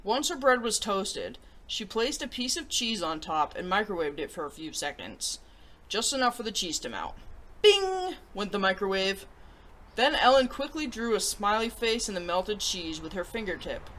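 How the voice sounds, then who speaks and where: A woman hosting a children's story show, reading aloud in an animated, expressive way. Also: medium pitch, normal speed, rough recording.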